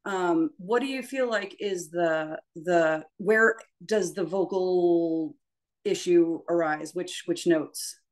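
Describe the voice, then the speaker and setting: A woman's voice: lowish voice, slow. A woman giving informal spoken instruction as a voice teacher, tentative and self-deprecating, often trailing off. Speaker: voice teacher leading a workshop.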